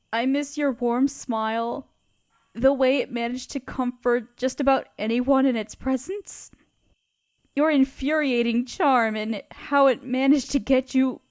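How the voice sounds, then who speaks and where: A woman voicing a grieving young woman who writes a letter to her lost love, speaking softly and tenderly while sniffling through tears. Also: high voice. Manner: soft, hushed and tender. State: sniffling, tearful. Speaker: audio roleplay performer. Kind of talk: intimate audio roleplay reading a letter.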